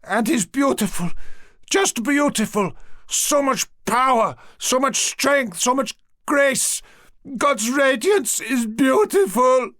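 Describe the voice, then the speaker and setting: A man voicing a small, excitable chieftain who speaks in high, drawn-out, giddy exclamations. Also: gravelly, dry recording, heavily acted. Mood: ecstatic.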